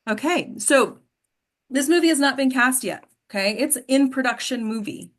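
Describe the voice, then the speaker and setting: A woman's voice: high-pitched, normal speed. Woman coaching an informal acting workshop. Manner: instructive, checks in with "right?". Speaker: host and acting coach leading a table read discussion.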